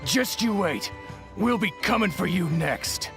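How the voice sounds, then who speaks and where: A man's voice: high voice, animated, a little slow, vocal fry, music in the background, exaggerated voice. A man voicing a surly, sneering henchman with a sullen, threatening manner in a video game.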